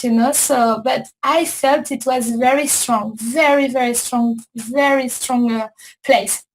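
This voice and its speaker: High, slow-talking woman. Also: a little hiss or hum, rough recording. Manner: slow, repetitive, searching for words. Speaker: host. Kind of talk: casual chat.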